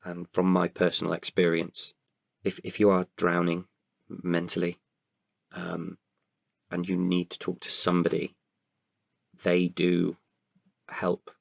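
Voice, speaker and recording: A man giving candid, halting answers as a podcast guest, often repeating words as he goes. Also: low voice, monotone, vocal fry, dry recording, clean studio sound. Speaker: podcast guest, writer and performer.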